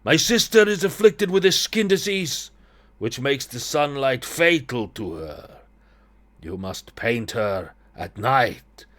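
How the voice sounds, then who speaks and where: A man's voice: medium pitch, expressive, takes it slowly, fairly bright tone. A man voicing a sinister, courteous brother with a vague Eastern European accent, formal and insistent in manner, from a gothic story. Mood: grave.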